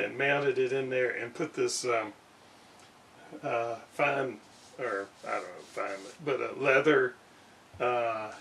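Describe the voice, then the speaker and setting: Male hobbyist demonstrator, unhurried and hesitant, off-mic with some faint background noise. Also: mid-range voice, fairly bright tone, far from the mic, low-quality recording. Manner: halting, hesitant, thinking aloud. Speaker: radio restorer, video host. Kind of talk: casual hands-on demonstration talk. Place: indoor home workshop at a desk.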